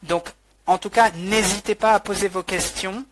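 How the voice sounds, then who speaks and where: A man interpreting a webinar talk live, halting, with frequent restarts and fillers. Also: high voice, steady tone, background noise.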